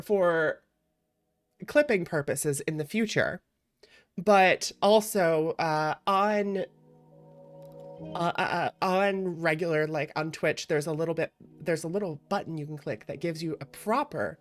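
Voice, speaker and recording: A woman hosting a livestream, chatting casually and playfully with viewers in an expressive way over background music. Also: fairly low pitch. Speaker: streamer, variety VTuber and host.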